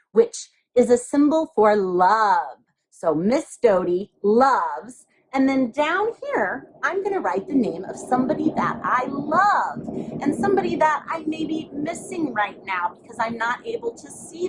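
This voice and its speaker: A woman's voice: fairly high pitch, a little hiss or hum, rough recording. A woman teacher leading young children step by step through a craft, explaining simply and patiently with repeated phrases and little questions. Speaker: elementary school craft teacher.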